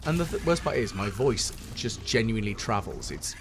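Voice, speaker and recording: Deep, slightly hoarse British man. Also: expressive, normal speed, background noise. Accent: British English. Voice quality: deep and resonant. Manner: wry, self-deprecating running commentary. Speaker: gameplay commentator. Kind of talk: casual let's-play commentary. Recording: game music playing under the voice. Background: game music.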